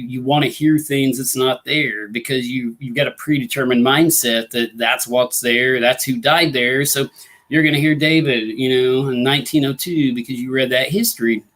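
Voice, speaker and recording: A hoarse man with a Midwestern American accent, fighting a cold and clearing his throat as he hosts a casual live show, rambling with lots of fillers and reading viewer comments. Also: medium pitch, fairly bright tone. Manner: rambling, many fillers like "you know" and "uh". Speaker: ghost hunting talk show host.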